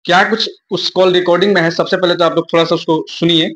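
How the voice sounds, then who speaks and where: A man's voice: high voice, little pitch movement, quick pace, a bit bright, slight background noise. A young man giving informal news commentary to viewers in an emphatic, repetitive explaining manner. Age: young adult.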